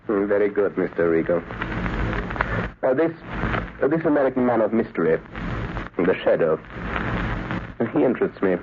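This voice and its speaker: A thin, very noisy old radio broadcast carries a man acting as a villainous doctor. His low voice is measured and courteous, with menace under every polite phrase, in the style of 1930s radio drama. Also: expressive, natural voice. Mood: cool, musing. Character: suave, sinister doctor, a foreign spy ring boss. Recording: noisy, narrow-band 1938 radio broadcast.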